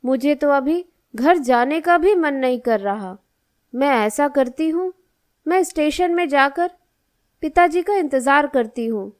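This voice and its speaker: High-voiced, slow-speaking hurt schoolgirl played by a woman. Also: expressive. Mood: hurt, brooding. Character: hurt, earnest young schoolgirl daughter, dutiful and affectionate. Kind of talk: Hindi moral-story cartoon dialogue.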